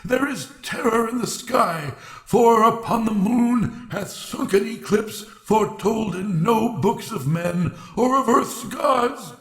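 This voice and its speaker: A man's voice: high voice, lively intonation, takes it slowly, a bit bright, echoey room, voice pushed up, full character voice. A man voicing a zealous wise old man in a storybook, crying out declamatory, archaic exclamations. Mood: wild exultation. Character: shrieking zealous old wise man, exultant turning terrified. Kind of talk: dramatic storybook character reading.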